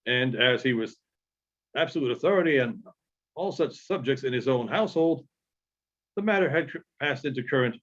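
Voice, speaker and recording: Halting male story reader, dull lo-fi sound. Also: mid-range voice, expressive, fairly bright tone. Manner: halting, stumbling delivery with frequent pauses. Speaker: audiobook reader. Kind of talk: literary narration read aloud.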